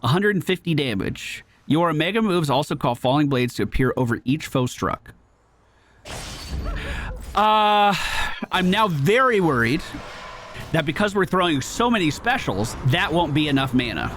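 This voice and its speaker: A man giving casual, animated live commentary as a gaming host, exclamatory and swearing freely. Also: fairly high pitch, normal speed, slight background noise. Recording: game music behind.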